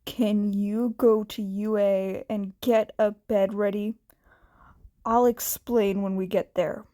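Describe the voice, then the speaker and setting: A woman voicing a tired, gruff, worried man with terse speech in a story reading. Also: medium pitch, steady tone, takes it slowly, warm tone, dry recording, studio quality, lightly acted. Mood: urgent.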